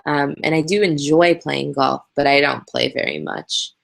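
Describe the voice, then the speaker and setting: A woman's voice: low-pitched, normal speed, gravelly, fairly bright tone. A young woman from Canada, hosting a casual live Q&A, chatty and candid with frequent ums, speaking into a webcam. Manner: chatty, candid, laughs easily, many ums. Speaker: host answering viewer questions. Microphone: webcam on a live video hangout.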